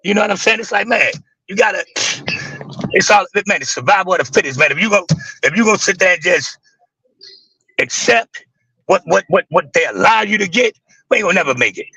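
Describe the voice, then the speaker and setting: A man with a raspy voice who talks intensely and with emphasis, swearing freely in a casual livestream chat. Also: sits high, expressive, a little fast, pauses often, pushed and bright, no echo, poor audio. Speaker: guest on a live stream.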